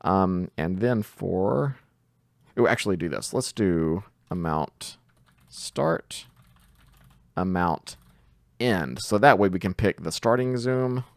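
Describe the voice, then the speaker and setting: A man's voice: sits low, animated, slow pace, gravelly, pauses often, no echo. A man giving a casual coding tutorial, explaining things step by step in an informal way. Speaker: programming tutor.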